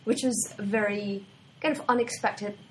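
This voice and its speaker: A woman's voice: mid-range voice, fairly even intonation, a little slow, slight background noise, low-quality recording. A woman from Hungary, a business student, fluently telling an anecdote in a casual chat. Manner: storytelling, fluent.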